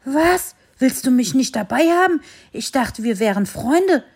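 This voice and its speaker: A woman voicing a big, cheeky male dog who is quick to take offence, in a children's story. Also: fairly high pitch, voice pushed up. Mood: indignant. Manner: excited, indignant exclamations.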